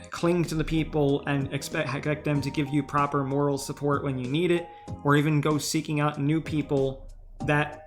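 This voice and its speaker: A man's voice: fairly high pitch, a bit bright. A man streaming as a VTuber, giving casual, earnest and supportive advice in response to reddit posts.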